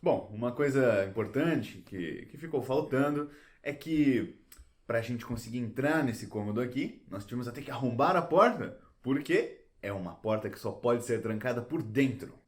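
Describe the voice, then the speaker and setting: A man plays a patient, didactic guide in an educational audio drama. His mid-pitched voice moves up and down with theatrical emphasis as he explains and encourages. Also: animated, moderate pace, close to an everyday voice. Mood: instructive. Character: patient, didactic male guide to detective reasoning.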